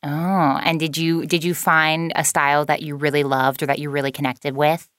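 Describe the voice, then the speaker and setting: A woman's voice: low-pitched, level tone, normal speed, a bit bright, smooth, dry recording, studio quality. A woman interviewing a guest, asking questions with enthusiasm. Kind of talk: casual interview.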